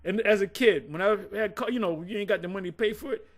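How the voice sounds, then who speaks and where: Brief: a man with a high voice, blunt and profane, rambling through old grievances in casual talk. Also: fast talker. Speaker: former group member recounting events. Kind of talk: casual conversation.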